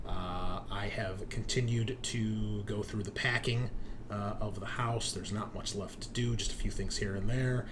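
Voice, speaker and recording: A man's voice: lowish voice, steady tone, measured pace, noisy background. A man hosting a game stream in casual, informal chat, swearing freely. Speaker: host and game master.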